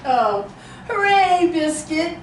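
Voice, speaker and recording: A woman's voice: extremely high pitch, very animated, takes it slowly, a little hiss or hum, full character voice. A woman reading a cheerful little girl. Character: cheerful little girl talking to her puppy. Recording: roomy echo, distant mic.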